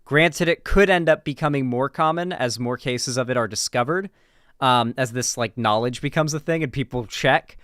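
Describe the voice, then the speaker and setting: A man with a clear, smooth voice in a dry, close recording. He talks off the cuff like a streamer reacting to something, informal and emphatic, restarting phrases and dropping swear words. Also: medium pitch, normal speed, a bit bright, no room sound. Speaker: political commentary streamer. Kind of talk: casual reaction commentary, unscripted.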